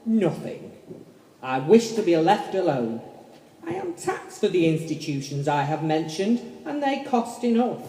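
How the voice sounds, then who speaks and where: Echoey hall, a man playing a curt grumpy old miser, high-pitched, radio play. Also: big pitch swings, unhurried, warm tone. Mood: cold, dismissive. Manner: curt, dismissive. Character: grumpy, miserly old businessman, cold and scornful. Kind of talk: stage radio play acting. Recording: echoey hall with noise and clicks.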